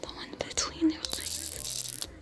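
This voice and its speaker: A young woman voicing a gentle alien smuggler who tends wounds, whispering softly and slowly with a French accent. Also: close to an everyday voice. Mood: soothing whisper. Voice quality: soft breathy whisper. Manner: slow, soothing and caring. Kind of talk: whispered ASMR roleplay. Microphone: close to camera. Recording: whisper over loud music.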